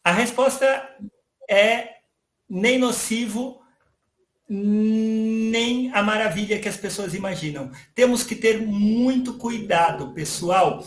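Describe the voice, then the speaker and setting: A man voicing a friendly virtual young male AI character, explaining calmly to an audience. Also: high-pitched, takes it slowly, echoey room. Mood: earnest. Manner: calm explanatory, addresses audience as "pessoal". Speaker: interviewee.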